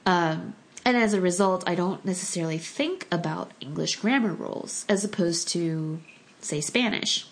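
A woman's voice: lowish voice, moderate pace. Thoughtful, hesitant woman in casual talk. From United States. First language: Korean. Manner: thoughtful, hesitant with restarts and fillers. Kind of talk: casual conversation.

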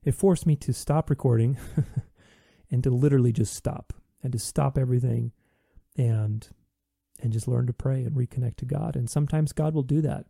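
A man's voice: medium pitch, creaky, dark tone, dry recording, clean studio sound. A man hosting a podcast, talking earnestly in a reflective solo monologue, with many restarts. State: recovering from voice loss.

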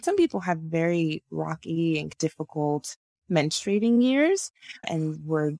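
Reflective guest, woman, middle-aged, from Nigeria, a bit gravelly, dry sound. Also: low voice, very animated, unhurried, dark tone, dry recording. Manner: thoughtful, reflective, laughs often. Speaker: naturopathic physician guest. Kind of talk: conversational interview.

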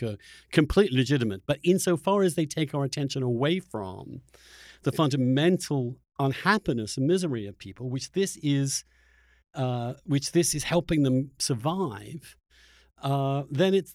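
A man's voice: mid-range voice, darkish tone, dry recording, studio quality. A man hosting a conversational interview, speaking earnestly and often repeating phrases as he works out his thought. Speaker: podcast host interviewing a guest.

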